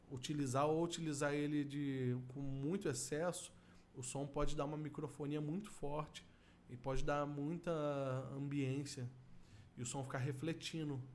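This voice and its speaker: A middle-aged man with a Brazilian accent giving an informal software tutorial as an audio effects instructor, explaining step by step. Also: a little high. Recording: steady room noise.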